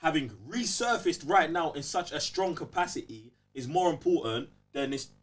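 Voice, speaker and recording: A man's voice: a little high, animated, normal speed, recorded from a distance. A young man with a London accent talking emphatically and in slang on a casual podcast, often checking that he's being followed. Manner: emphatic, slangy, repeats check-in tag phrases. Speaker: podcast host.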